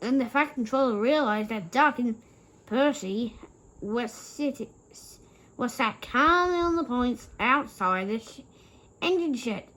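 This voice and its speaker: A woman with a high voice reads a children's story aloud at a slow pace. She reads haltingly, sometimes tripping on a word and starting it again. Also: rough recording. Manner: halting, stumbles and repeats words while reading.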